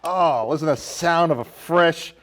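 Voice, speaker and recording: A man's voice: a little high, normal speed, a bit bright. A man hosting a casual film discussion, conversational and jokey, often posing questions. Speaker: podcast host.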